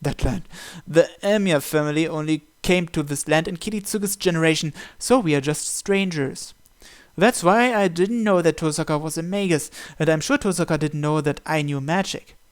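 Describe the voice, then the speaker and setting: A man with a somewhat high voice reads first-person story narration from a visual novel aloud. He delivers it as the host of a gaming series, working through the script line by line. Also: moderate pace.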